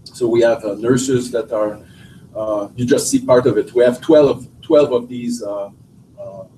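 A middle-aged man from Quebec with a French accent, giving a halting academic seminar talk full of restarts and fillers. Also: medium pitch, steady tone, dark tone, slight background noise. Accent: Québécois French. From Quebec, Canada. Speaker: guest lecturer, professor. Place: lecture room. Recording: distant, roomy lecture hall audio.

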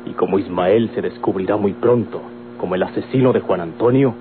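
Male actor as resolute grown son, Mexican accent, emphatic and earnest, lower register, hissy humming vintage radio serial. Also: a little fast, natural voice. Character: firm, defiant grown son in love. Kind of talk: old-time Mexican radio drama acting. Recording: old tinny radio recording, noisy hum.

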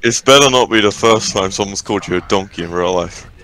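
A man's voice: lowish voice, fast talker, fairly bright tone, rough recording. A man chatting casually while gaming, teasing and swearing as he goes. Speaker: gamer in group voice chat. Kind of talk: casual joking game chat.

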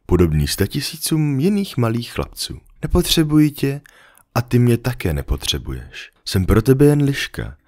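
A man reading a storybook, voicing a lonely, patient female fox in a soft, earnest, coaxing way. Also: mid-range voice, sing-song, dry recording, studio quality, pitched above the performer's own voice. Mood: earnest, wistful.

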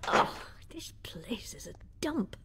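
A woman's voice: mid-range voice, very animated, slow pace, in a whisper. An actress playing a feisty, grumbling old woman in a radio drama, indignant and muttering. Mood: aching, grumbling.

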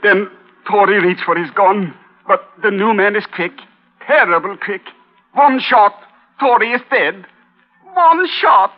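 An actor playing an anxious, gentle immigrant homesteader in a radio drama. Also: male voice, high voice, unhurried. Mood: shaken. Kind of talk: 1950s radio drama acting. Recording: muffled old radio recording.

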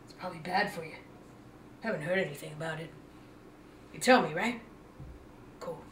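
A woman's voice: lowish voice, moderate pace, slight background noise, close to an everyday voice. Woman playing a surly dog, echoey room. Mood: deadpan, defiant. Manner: deadpan, defiant. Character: gruff, foul-mouthed, cigar-smoking female dog. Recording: echoey room, distant mic.

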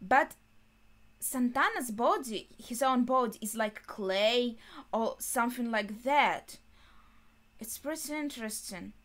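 A young adult woman giving casual reaction commentary, thinking aloud haltingly in short phrases. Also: a little high, expressive, a bit bright. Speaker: anime reaction host. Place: small bedroom indoors.

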